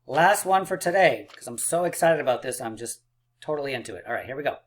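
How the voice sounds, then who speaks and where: A man teaching a math lesson for children, upbeat and encouraging, a little hoarse and clearing his throat. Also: medium pitch, animated, fast. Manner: upbeat and encouraging, playful drum rolls and counting aloud. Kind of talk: math lesson video for kids.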